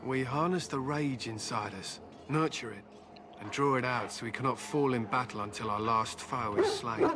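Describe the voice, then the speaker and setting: An actor playing a grim, proud warrior who fights alongside hounds in a video game, speaking in solemn declarations. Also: male voice, mid-range voice, normal speed, heavy background noise, natural voice. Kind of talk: scripted video game character dialogue. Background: loud game music.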